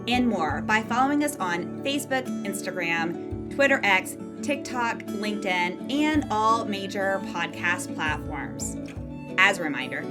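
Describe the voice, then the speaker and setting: Woman announcer over prominent guitar music. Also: mid-range voice. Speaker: podcast announcer. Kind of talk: scripted podcast outro announcement. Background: plucked guitar music.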